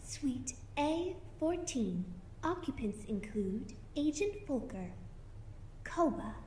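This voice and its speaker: A slow, measured female computer voice acted by a woman greets and informs with calm automation. It sits fairly high and echoes through the room, as heard in a science fiction radio play. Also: exaggerated voice. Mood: calm, automated. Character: calm female voice of a space station's security computer system. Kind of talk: scripted science fiction audio drama. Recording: roomy echo, faint background noise.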